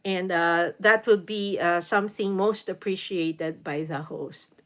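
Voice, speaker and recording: A woman's voice: on the low side, steady tone, unhurried. A woman from the Philippines in her forties hosting a casual live stream, chatting with viewers, greeting them by name and using many fillers. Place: home in New York City.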